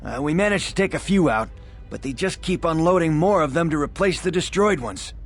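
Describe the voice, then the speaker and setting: A game soldier holding a battle line, with a fairly high male voice. He sounds earnest and pressed, and music plays faintly behind him. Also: animated, brisk. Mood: worried, earnest. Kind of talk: video game dialogue.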